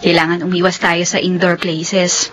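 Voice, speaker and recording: A woman's voice: on the low side, little pitch movement, normal speed, over music, natural voice. An actress playing a hurt, guarded young woman in love in a radio drama, hesitant and questioning, recorded a little dully.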